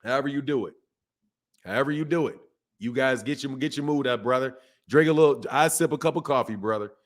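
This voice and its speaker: Hoarse man hosting a boxing livestream. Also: mid-range voice, fairly quick. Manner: emphatic, repeats himself, calls listeners bro and man. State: hoarse, voice shot from yelling. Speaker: boxing channel host. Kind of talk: casual livestream commentary reading chat.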